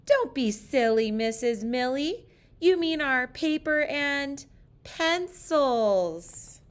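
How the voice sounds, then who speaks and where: Amused young pupils from a picture book, acted out by a woman reader. They correct their teacher loudly and emphatically in high, bouncy, animated voices, drawing out the key words. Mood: amused, insistent. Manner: loud, emphatic, drawn-out correcting.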